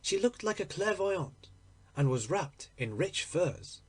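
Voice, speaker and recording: A man narrates a literary story in a fairly high voice that rises and falls in a very animated way. His phrasing is measured and deliberate, and the tone has a husky, breathy grain. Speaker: audiobook reader.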